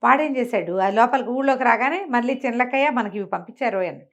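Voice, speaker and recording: A woman telling a folk tale in an animated, conversational way, acting out the characters' exclamations. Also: a little high, fast. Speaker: storyteller. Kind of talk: animated oral folk storytelling to a listener.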